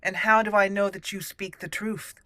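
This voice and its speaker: A woman voicing a stern, suspicious elf mage in a video game roleplay, firm and measured as she interrogates. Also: medium pitch, flat delivery, sharp tone, no echo, natural voice. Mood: stern, probing.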